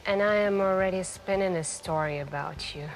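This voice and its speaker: A woman playing a mysterious, charming stranger in a café, speaking softly and intimately in an ASMR roleplay with musing pauses. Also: mid-range voice, close to an everyday voice, rough recording. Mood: playful, intrigued. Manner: slow, musing, gently flirtatious, pausing between phrases. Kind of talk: soft-spoken ASMR roleplay, intimate storytelling. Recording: voice over music and falling rain. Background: music and rain.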